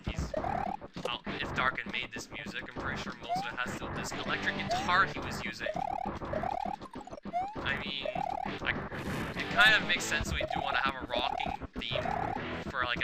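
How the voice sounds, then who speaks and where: A man's voice: medium pitch, huge pitch swings, moderate pace, vocal fry, rough recording. A man giving chatty, opinionated commentary over his gameplay, with many casual fillers. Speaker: let's play commentator. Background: game music.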